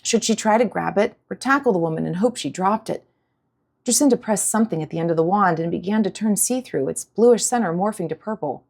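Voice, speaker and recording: A woman's voice: mid-range voice, brisk, vocal fry, darkish tone. A woman audiobook narrator reading a scripted story in a dramatic style.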